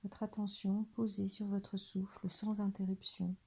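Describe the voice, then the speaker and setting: A woman guiding a body scan meditation in a soft, breathy, near-whispered voice, gentle and soothing, leaving long pauses. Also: mid-range voice, flat delivery. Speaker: meditation guide.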